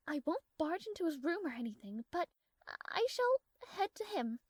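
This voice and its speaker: Woman voicing a proud young princess, high-pitched, dry recording, formal diction, imperious yet flustered, tsundere, romantic audio drama. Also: sing-song, moderate pace, darkish tone, dry recording. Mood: haughty, impatient. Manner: haughty, formal, flustered hesitations. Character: proud, strong-willed tsundere young princess, guarded but fond. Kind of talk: scripted romantic audio roleplay addressed to the listener.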